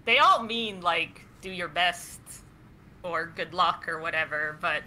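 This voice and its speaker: A woman's voice: lowish voice, measured pace, sharp tone, slight background noise, poor audio. A woman from Canada, co-hosting a podcast in casual chat, talking freely and laughing often. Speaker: podcast co-host.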